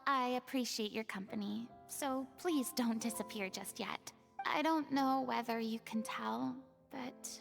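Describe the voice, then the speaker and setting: A woman voicing a remorseful young woman in a video game, speaking softly and wistfully, often half-whispered. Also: on the high side, gravelly. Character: remorseful young woman, former goddess pretender.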